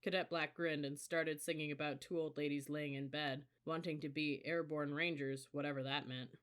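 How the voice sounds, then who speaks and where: Audiobook reader: bright, forceful tone, scripted first-person novel narration. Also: medium pitch, steady tone, moderate pace, clear, resonant tone.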